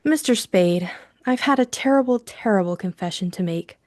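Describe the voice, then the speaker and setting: A woman voicing a timid, troubled young female client in an audiobook, hesitant and pleading, with a hushed, trembling delivery. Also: medium pitch, normal speed, no room sound, studio quality, natural voice. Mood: hesitant, ashamed. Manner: hushed, hesitant, quivering, stammering. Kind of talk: audiobook character acting.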